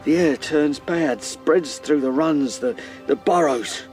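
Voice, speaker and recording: Traumatised rabbit officer, radio play: slow, distressed, broken delivery, music beneath. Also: male voice, a little high, darkish tone. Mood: horrified, anguished. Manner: halting, haunted, breaking off mid-sentence. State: shaken, distressed. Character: shaken, traumatised adult male rabbit, former captain. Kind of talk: radio drama acting. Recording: music under the voice.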